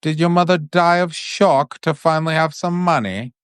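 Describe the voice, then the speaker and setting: A man's voice: on the high side, normal speed, smooth, no room sound, clean studio sound, voice pushed up, close to an everyday voice. A man voicing a spoiled schoolboy bully with a taunting, lazy drawl in a bedtime storybook reading. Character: Draco Malfoy, sneering, spoiled schoolboy bully.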